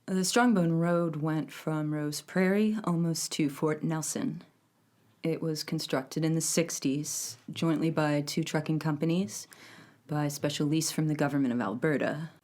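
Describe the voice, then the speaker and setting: A woman playing a small-town police chief in a documentary interview, giving facts in a dry, unhurried, plainspoken way. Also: low voice, fairly even intonation, darkish tone. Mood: dry, factual. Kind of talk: naturalistic documentary interview acting.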